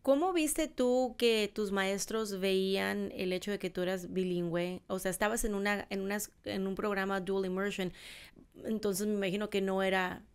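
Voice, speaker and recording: A woman hosting a podcast, asking long questions with halting restarts. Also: medium pitch, steady tone, clean tone. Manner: warm backchannels, halting restarts. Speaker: podcast host. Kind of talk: interview questions.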